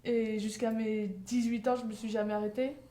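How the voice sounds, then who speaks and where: A woman's voice: fairly high pitch, little pitch movement, moderate pace, dark tone. A young woman from France, answering interview questions fluently. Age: young adult. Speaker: guest, softball player.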